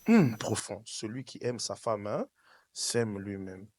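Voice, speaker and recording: A man's voice: lowish voice, lively intonation, takes it slowly, a little mellow. A man from Switzerland preaching a sermon, emphatic and repetitive, addressing his congregation directly. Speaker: pastor, preacher. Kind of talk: sermon with call and response. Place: church service, speaking to congregation.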